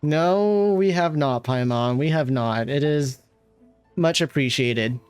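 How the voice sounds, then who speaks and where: A man's voice: on the high side, slow pace. A man doing casual livestream reaction commentary, gushing playfully over a video game. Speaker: game streamer. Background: game music.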